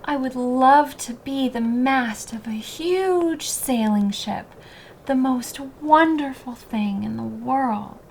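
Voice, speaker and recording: Storybook role: restless young fir tree, wistful and questioning, high and unhurried, acted by a woman. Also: creaky, a little mellow, slight background noise, heavily acted. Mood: envious. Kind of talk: children's storybook character voice.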